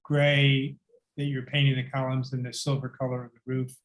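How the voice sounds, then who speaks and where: A man's voice: mid-range voice, completely flat delivery, moderate pace. A man with a mid-range voice joins an informal board meeting discussion. He clarifies questions in a conversational way.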